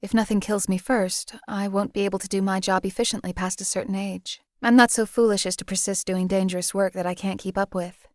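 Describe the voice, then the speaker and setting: A woman's voice: mid-range voice, a little mellow, dry recording, natural voice. A woman voicing a cold, detached female assassin companion in a video game, talking in a dry, coolly contemptuous way. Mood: reflective.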